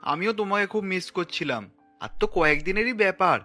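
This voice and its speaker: A man's voice: high voice, expressive, a little fast, sharp tone, faint music behind. A man voicing an affectionate, apologetic young husband in a cartoon story.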